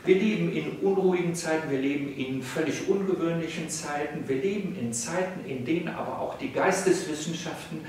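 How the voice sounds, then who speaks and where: An older professor lectures in a formal, rhetorical way, pausing on small hesitations as he goes. His voice sits a bit high for a man and carries a strong room echo, picked up by a camera mic set some distance away in a salon. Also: darkish tone, slight background noise. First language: German. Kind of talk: freely spoken video lecture. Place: indoor salon room, seated on a sofa.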